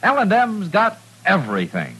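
A man delivering emphatic, repetitive slogans as a pitchman in a vintage radio advertisement. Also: wildly swooping pitch, moderate pace, pushed and bright, husky. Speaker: commercial pitchman.

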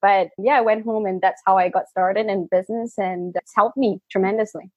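A woman's voice: mid-range voice, steady tone, normal speed, breathy edge, no room sound, phone-quality sound. A woman in her thirties from Malaysia, with a mixed Malaysian and British accent, answering interview questions in an earnest storytelling way, often adding "right?". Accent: Malaysian and British mix. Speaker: interview guest.

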